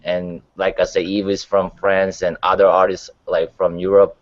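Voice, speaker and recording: A man's voice: low-pitched, level tone, normal speed, lo-fi sound. A man giving his views as a panelist in a casual discussion. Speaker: panelist on a music talk show.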